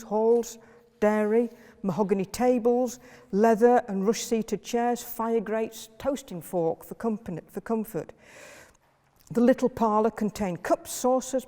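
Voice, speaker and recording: Mellow-voiced woman lecturing in a hall. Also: mid-range voice. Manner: measured, list-like delivery with occasional fillers. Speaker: historian giving a lecture. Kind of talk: public history lecture.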